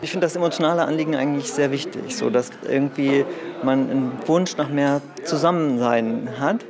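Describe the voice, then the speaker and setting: A man's voice: medium pitch, fairly quick. A man giving a spontaneous interview answer as a guest. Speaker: regular café guest being interviewed. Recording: crowd chatter behind voice. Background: people talking.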